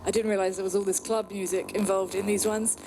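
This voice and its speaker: A British woman in her thirties, from the UK, giving an upbeat presentation at a trade conference with some filler pauses. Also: medium pitch, flat delivery, loud music behind the voice. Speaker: conference speaker. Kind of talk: presentation talk.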